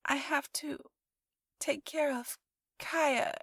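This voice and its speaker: A woman's voice: sits high, steady tone, drawn-out words, a bit bright, no room sound, studio quality, pitched above the performer's own voice, full character voice. A woman voicing a shy, adoring little sister, a young girl with a sweet, hesitant, childlike manner, in an audio storybook. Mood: sleepy.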